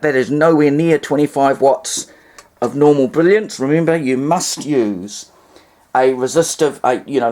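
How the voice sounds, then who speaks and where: A New Zealand man talks in a fairly high voice. He rambles casually through a hands-on demonstration with a wry sense of humour. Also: darkish tone. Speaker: hobbyist presenter.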